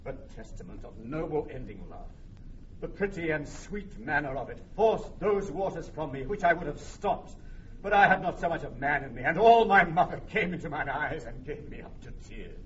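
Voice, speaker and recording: A dull, slightly distant vintage radio drama recording of a noble English soldier. His fairly high voice is tender and loyal, declaiming lyrical verse with open emotion. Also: animated, normal speed, a bit bright, slight background noise. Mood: grieving, tender. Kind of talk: classical Shakespearean radio acting.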